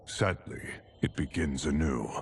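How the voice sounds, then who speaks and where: An actor playing a world-weary guardian god in a video game, solemn and measured. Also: male voice, rumbling low, slight background noise. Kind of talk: dramatic video game cutscene acting.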